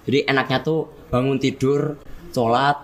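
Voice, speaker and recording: A man's voice: mid-range voice, moderate pace, a little hiss or hum. Javanese-accented teenage boy explaining. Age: teens. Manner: explains at length, relaxed. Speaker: student guest. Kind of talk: casual podcast chat.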